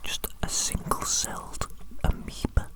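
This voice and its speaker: A performer voicing an evil doctor who dotes on their creations, in a slow, breathy ASMR roleplay whisper with lingering, repeated phrases. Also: close to an everyday voice. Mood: doting, gloating. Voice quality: breathy unvoiced whisper throughout. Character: evil mad scientist doctor, doting and sinister creator of monsters.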